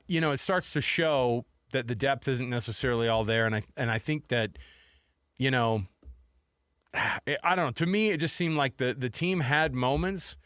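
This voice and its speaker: A man's voice: mid-range voice, a bit bright, no echo, clean studio sound. A middle-aged American man hosting a sports radio show, talking casually and conversationally about games. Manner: informal, conversational. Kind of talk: casual sports talk with commentary. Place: radio studio. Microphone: close broadcast microphone.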